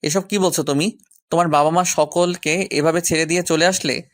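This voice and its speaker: A man playing a gentle, caring young man in love in an audio story. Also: a little high, fairly even intonation, normal speed, no room sound, clean studio sound, lightly acted. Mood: concerned, gentle. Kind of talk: audio story character acting.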